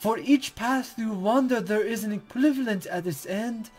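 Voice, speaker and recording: A man's voice: high-pitched, moderate pace, darkish tone, voice pushed up. A man voicing a playful, smug female spirit guide who speaks in formal, cryptic, teasing phrases in a visual novel read-aloud. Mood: teasing, instructive. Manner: archaic, formal, teasing, condescending.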